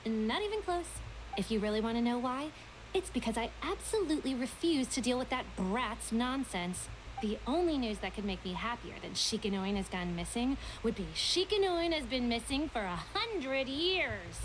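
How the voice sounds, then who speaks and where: A woman's voice: fairly high pitch, sing-song, normal speed, slight background noise, poor audio. An actress playing a haughty, sarcastic, lazy female detective in a video game, with a snide, dismissive and dramatic delivery. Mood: indignant, scornful.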